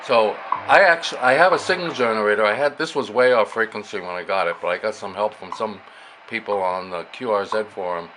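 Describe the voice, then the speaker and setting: A man with a fairly low voice talks through a hands-on demonstration in a casual, unscripted way. He explains as he goes, thinking aloud, with clearly audible noise behind him. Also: a little fast, bright, forceful tone, rough recording. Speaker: amateur radio hobbyist demonstrating a receiver. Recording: clear background noise, radio static. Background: shortwave radio stations and static.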